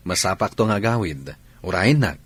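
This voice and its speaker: Low-voiced easygoing young lover. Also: male voice, sing-song, measured pace, fairly bright tone, breathy edge, exaggerated voice. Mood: affectionate. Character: easygoing, affectionate young lover, easily swayed. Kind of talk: Filipino radio drama acting. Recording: radio drama with music underneath.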